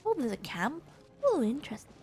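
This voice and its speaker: A woman gaming streamer doing casual let's play commentary, reading dialogue aloud with short, unhurried reactions. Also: sits high, very animated, dark tone, faint music behind.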